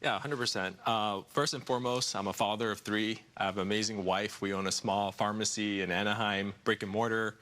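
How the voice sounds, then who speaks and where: Man, forties, lowish voice, bright and forceful, earnest, lapel mic in a news studio. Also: fairly even intonation, fairly quick, few pauses. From United States. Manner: earnest, repeats phrases, many uh fillers. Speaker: congressional candidate. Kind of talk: TV studio interview.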